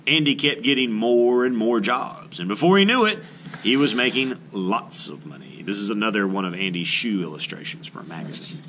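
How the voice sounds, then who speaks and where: A man reading a children's book aloud as an art teacher, with expressive, emphatic delivery. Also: lowish voice, normal speed, slight background noise.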